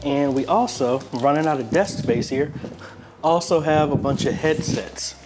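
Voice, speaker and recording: A man's voice: fairly high pitch, unhurried, music in the background. An American man hosting a casual unboxing review, talking in a conversational, unscripted way as he thinks aloud. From United States. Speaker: video host and church media ministry member. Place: apartment room, indoors.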